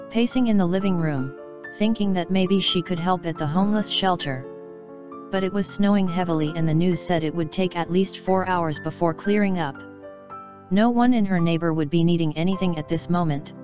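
A woman's voice: fairly low pitch, darkish tone. A woman reading a scripted bedtime story as its narrator. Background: music.

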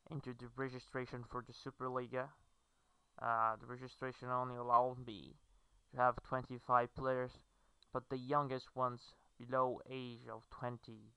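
Clear, bright-voiced Brazilian man. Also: mid-range voice, no room sound, rough recording. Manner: hesitant, fillers, non-native phrasing. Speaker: gamer. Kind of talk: game commentary.

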